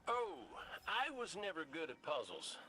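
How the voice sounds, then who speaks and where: Wisecracking robot gunslinger, bright forceful voice, lively pitch, folksy swagger, video game dialogue. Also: male voice, moderate pace, a little hiss or hum, low-quality recording. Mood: wry. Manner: wry, colloquial, swaggering. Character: cocky wisecracking veteran robot gunslinger scout, folksy and roguish.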